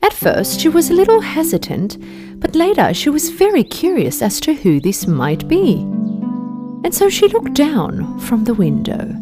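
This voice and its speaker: A woman reading a children's fairy tale in an expressive, measured storybook manner. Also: high voice, over music.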